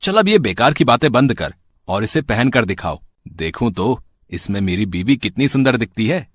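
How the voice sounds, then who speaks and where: A man's voice: mid-range voice, very animated, moderate pace. A man playing a poor, loving husband in a moral story, speaking earnestly and tenderly. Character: loving, poor husband and worried auto driver. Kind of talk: acted dialogue in a Hindi moral story.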